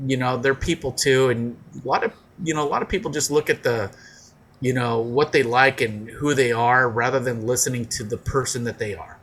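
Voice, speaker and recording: A man's voice: mid-range voice, fairly bright tone. A man in his forties co-hosting a comedy podcast, rambling with lots of fillers and swearing. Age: 40s. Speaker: podcast co-host. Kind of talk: casual comedic chat.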